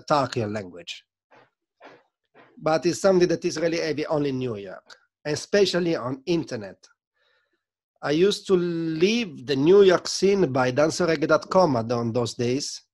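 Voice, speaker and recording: A man in his thirties with an Italian accent, guest on a video call interview, telling stories in a lively, gesturing way from a home studio. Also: medium pitch. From Italy. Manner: animated, gesturing, non-native phrasing. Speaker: sound system selector, guest. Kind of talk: casual interview storytelling. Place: home studio room with record shelves. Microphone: desk mic on video call.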